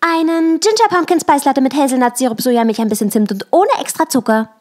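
Woman doing a bubbly chatterbox girlfriend in a short story, gushy, self-absorbed, voice pitched up. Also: fast talker, darkish tone, natural voice. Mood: brisk, perky. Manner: gushing, chattering cheerfully. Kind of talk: acted short-story reading.

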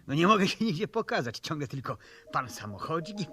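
An actor playing a weary, grumbling but kindly adventurer detective in a 1970s radio play. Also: male voice, on the high side, big pitch swings, brisk, fairly bright tone, slightly rough, exaggerated voice.

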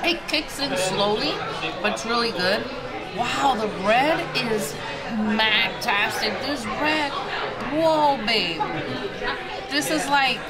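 A woman's voice: fairly high pitch, takes it slowly. An enthusiastic woman in her thirties co-hosting a casual food review in a restaurant, given to exclamations. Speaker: food vlog co-host. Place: restaurant dining room, indoors. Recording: busy restaurant crowd chatter. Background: diners chattering.

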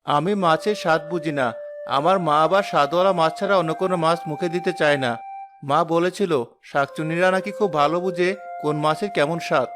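Earnest young village lad, played by a man, high male voice, quick cartoon delivery. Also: natural voice. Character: cheerful, kind young village fisher boy. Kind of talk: cartoon character acting.

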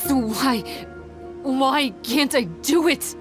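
A woman's voice: high-pitched, slow pace, music in the background. Solemn, guarded young woman. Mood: anguished. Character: Tsumi, solitary guarded young woman raised among sea creatures. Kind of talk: dramatic video game voice acting.

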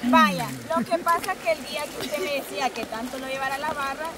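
A young Salvadoran woman in casual group talk outdoors, insistent and quick to talk over others. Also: high-pitched, crowd noise. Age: young adult. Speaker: show participant. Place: outdoors by a river, among a group.

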